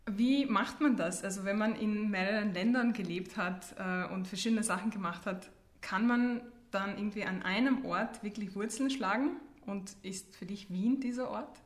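A woman's voice: mid-range voice, normal speed, hardly any pauses. A woman hosting an interview in a conversational way, putting questions to her guest. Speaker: interview host. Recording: roomy, echoey sound.

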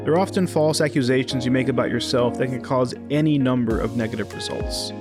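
A man narrates a faith documentary in a measured, explanatory manner, his voice sitting in a middle range. Guitar music plays clearly beneath his words. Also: mid-range voice. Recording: music bed under voice.

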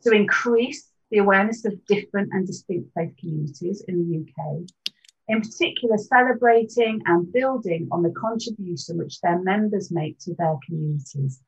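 A woman presents a panel show in a husky, slightly breathy voice, steady and welcoming. The sound is a little dull and muffled, like stream audio. She speaks at a middling pitch. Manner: measured, welcoming presenter tone with throat-clearing. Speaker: host of an interfaith panel. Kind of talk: presenting and leading a short prayer.

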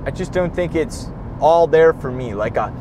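A young man talks through a solo podcast from a moving car, high in pitch and worn out, his voice fraying. He rambles, restarts his sentences and swears casually. Road noise hums clearly underneath. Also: animated, moderate pace. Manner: tired, profane, rambling with many restarts. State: tired and losing his voice. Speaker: podcast host.

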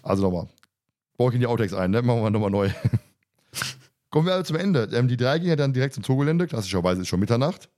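A man's voice: mid-range voice, sing-song, fast, darkish tone. A man hosting a podcast, chatting casually and retelling a story in an informal, self-interrupting way. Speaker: podcast host. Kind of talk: casual podcast chat, retelling an audio drama episode.

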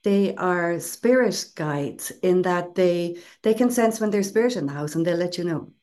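A woman's voice: lowish voice, lively intonation, normal speed, mellow. A woman co-hosting a podcast, explaining ideas in a conversational casual chat with asides. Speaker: podcast co-host.

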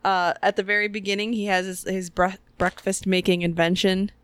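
A woman co-hosting a podcast in casual chat, expressive and given to acting out what she describes. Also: mid-range voice, moderate pace, smooth, no room sound. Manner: expressive, acts out bits with sound effects. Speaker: podcast co-host.

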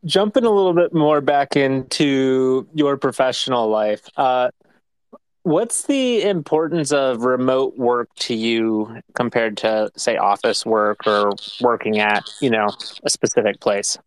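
American man, podcast host, smooth clear voice, dry close sound. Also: medium pitch, a little slow, dry recording, low-quality recording. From United States. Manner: easygoing, curious questions. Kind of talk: casual interview.

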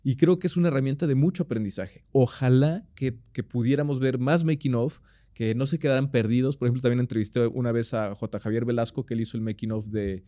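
A man's voice: medium pitch, quick pace, warm tone, dry recording, clean studio sound. A Mexican man hosting a podcast interview, chummy and slangy. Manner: chummy, slangy, lots of backchannel. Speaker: podcast host. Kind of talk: casual interview chat.

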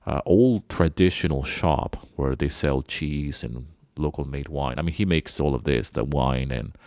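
Very deep-voiced man rambling. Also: measured pace, darkish tone, no echo. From Venezuela. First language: Spanish. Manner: rambling, loose and chatty. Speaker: podcast host. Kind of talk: casual reflective monologue.

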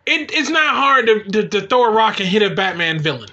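A man's voice: sits high, sing-song, brisk, bright, forceful tone, highs cut off. A man in his forties chatting casually and emphatically as co-host of a show, rambling with strong opinions and swearing. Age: 40s. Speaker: co-host of a comic book talk show.

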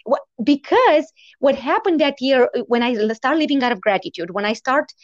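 A woman in her forties with a foreign accent, not a native English speaker, telling her story as an interview guest in a lively, animated way. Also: sits high, normal speed, no echo, dull audio. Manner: animated, lively storytelling with quoted inner thoughts.

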